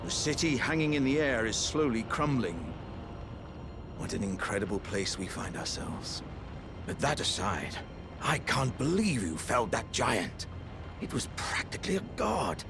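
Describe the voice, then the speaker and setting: Big-hearted proud jar warrior from a fantasy game, gravelly, grand old heroic talk, music behind. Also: male voice, medium pitch, very animated, measured pace. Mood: hearty, admiring. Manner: grandiose, formal, old-fashioned heroic speech. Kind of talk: video game character dialogue.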